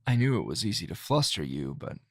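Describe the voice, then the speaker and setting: A man acts out a seductive anime hero with a low, very gravelly voice. He teases slowly and intimately, swooping in pitch with soft confidence, as in a close ASMR roleplay. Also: very animated, dry recording, exaggerated voice. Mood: teasing, seductive. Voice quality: gravelly, husky. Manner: slow, teasing, breathy pauses and soft laughs. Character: flustering, seductive, softly confident male anime hero lover.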